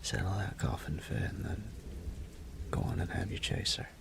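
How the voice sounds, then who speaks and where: Man acting a gravelly low Southern roommate, teasing. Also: expressive, moderate pace, full character voice. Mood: gently amused. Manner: drawling, teasing, half-whispered. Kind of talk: intimate roleplay audio acting, close and conversational.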